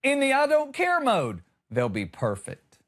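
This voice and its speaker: A man's voice: extremely high pitch, wildly swooping pitch, unhurried, fairly bright tone. A man hosting a comedy show, delivering a conversational monologue with exasperated, emphatic punchlines.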